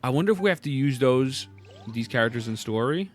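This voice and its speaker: A man's voice: medium pitch, expressive, a little fast, faint music behind. A young man reacting live to a video game as a streamer, casual and slangy, muttering asides and repeating his phrases.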